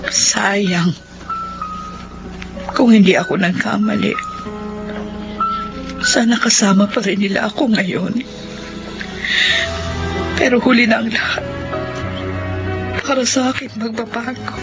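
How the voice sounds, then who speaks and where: A woman playing a regretful mother who lives apart from her family, speaking softly and sorrowfully in a radio drama. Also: fairly high pitch, steady tone, slow, vocal fry, pauses often. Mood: wistful. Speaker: narrating character. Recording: dull lo-fi audio over music.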